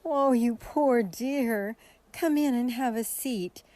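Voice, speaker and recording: A woman playing a kindly, sympathetic shelter volunteer in a radio drama, gentle and solicitous. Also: fairly high pitch, slow, dry recording.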